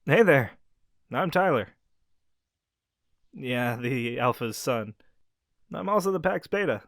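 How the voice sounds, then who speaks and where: An actor playing a young male werewolf and caring pack beta, speaking gently and reassuringly straight to the listener in an audio roleplay. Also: medium pitch, expressive, takes it slowly, dry recording, studio quality. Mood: friendly.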